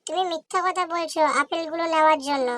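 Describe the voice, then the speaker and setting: Casting: woman to voice earnest little girl bird for kids' animation, high-pitched, childlike. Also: fairly even intonation, fast, fairly bright tone. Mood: indignant. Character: earnest, hardworking young female little bird. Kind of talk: children's cartoon voice acting.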